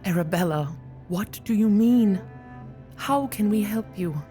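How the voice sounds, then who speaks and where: A woman's voice: mid-range voice, lively intonation, unhurried, warm tone, soft background music, lightly acted. An actress playing a loyal, motherly guardian, composed and earnest, in an audio drama. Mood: concerned, pressing. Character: loyal, concerned motherly guardian woman, gently pressing.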